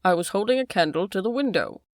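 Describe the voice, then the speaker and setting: A woman voicing a frightened, secretive middle-aged male butler with a lowered, pleading voice. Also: expressive, normal speed, a little mellow, dry recording, studio quality. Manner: pleading then defiant, lowered voice.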